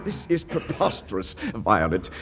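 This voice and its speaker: An actor playing a pompous, easily affronted gentleman suitor, sputtering in 1940s radio comedy style. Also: on the low side, big pitch swings, unhurried, over loud music.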